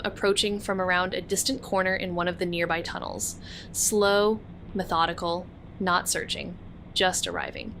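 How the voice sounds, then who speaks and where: A woman's voice: mid-range voice, a bit bright. A woman playing a tough, wry young sleuth who narrates in terse, clipped hard-boiled lines. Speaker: narrator and co-lead investigator.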